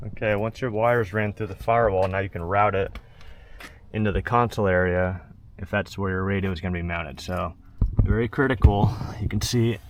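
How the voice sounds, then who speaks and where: A man's voice: low-pitched, highs cut off. A man giving a casual, hands-on how-to, plain-spoken and matter-of-fact as he goes step by step. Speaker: host of a truck DIY install video. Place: in and around a pickup truck. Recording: steady background noise.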